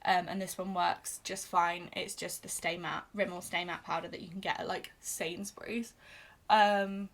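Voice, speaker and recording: A woman's voice: lowish voice, flat delivery, moderate pace, a bit bright. A young British woman chatting casually while doing her makeup on camera, self-deprecating, with frequent fillers. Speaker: beauty vlogger. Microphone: camera in front of her.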